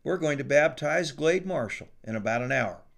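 A man reading the part of a kindly young farmer husband, calm and sincere. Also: on the high side, normal speed, gravelly.

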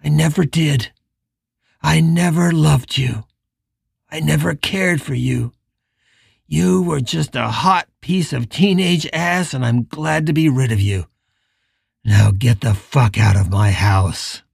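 A reader voicing Richard, a remorseful middle-aged man pleading with the young man he once rejected, in a lowered, emotional voice. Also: takes it slowly, vocal fry, breathy edge, no room sound, studio quality, heavily acted. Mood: cold.

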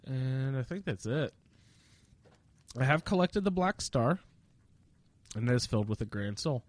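A man chats his way through a video game in a loose, rambling way. He jokes at his own expense and sounds slightly sniffly from a cold, with a medium-pitched voice. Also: animated, measured pace, no room sound. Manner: rambling, self-deprecating, jokey. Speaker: gamer narrating own playthrough. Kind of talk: casual gameplay commentary.

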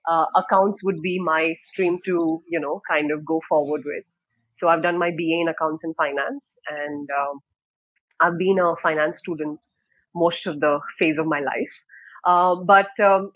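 A woman in her thirties from Dubai talks about her career on a podcast. Her speech is relaxed and reflective, full of small pauses. The recording is dry and close, with no room sound. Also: low voice, no echo, rough recording. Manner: thoughtful, many fillers and restarts. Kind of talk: casual interview talk.

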